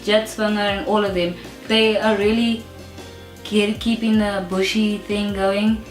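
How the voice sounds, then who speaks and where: A young woman with a South African accent speaks her mind in a casual interview, firm and emphatic, with a steady "you know" running through her talk. Her voice sits at an ordinary pitch for a woman and rings in a roomy space, with faint music playing behind her. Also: a little slow. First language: English. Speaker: rap artist being interviewed.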